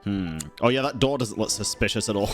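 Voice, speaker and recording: A man's voice: on the low side, brisk, soft background music. A man giving casual live gameplay reactions as a streamer, slangy, sweary and wry.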